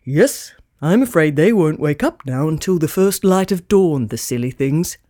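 A woman's voice: low-pitched, very animated, moderate pace, dark tone, dry recording, clean studio sound, pitched below the performer's own voice. A woman voicing a kindly, fretful old male Santa in a children's storybook with fussy, old-fashioned phrasing. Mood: dismayed.

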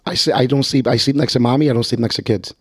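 A man's voice: mid-range voice, steady tone, very fast. A man co-hosting a podcast, joking around in casual slang. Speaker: podcast co-host. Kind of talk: casual chat.

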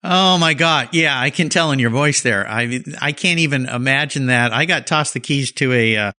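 A man's voice: medium pitch, moderate pace, pushed and bright. A man hosting an interview, enthusiastic and upbeat. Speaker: podcast host. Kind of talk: interview host chat.

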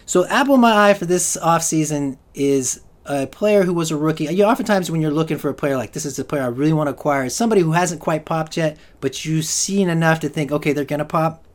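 A man's voice: fairly high pitch, fast, hardly any pauses. A man hosting a solo fantasy football podcast, rambling with lots of restarts and fillers, and he is losing his voice. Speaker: podcast host.

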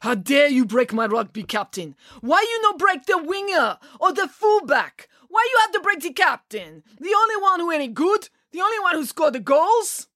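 A woman voicing an angry Russian man, a rugby coach who shouts indignantly in broken English with a thick accent. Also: very high voice, big pitch swings, normal speed, hardly any pauses, dry recording, clean studio sound, voice pushed up, heavily acted.

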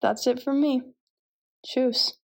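A woman's voice: high voice, steady tone, slow. A Canadian woman in her twenties, a professional volleyball player, giving an upbeat, self-deprecating update. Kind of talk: scripted update to camera.

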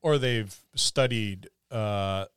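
A man's voice: fairly low pitch, drawn-out words, fairly bright tone, clean tone, dry recording, studio quality. A man co-hosting a gaming podcast as a game master, discussing things casually.

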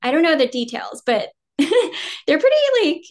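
Chatty American woman, slightly high. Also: a little slow. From New Jersey, US. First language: Vietnamese. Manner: relaxed, rambling, many "um" and "like", laughs easily. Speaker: guest actor. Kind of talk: casual interview chat.

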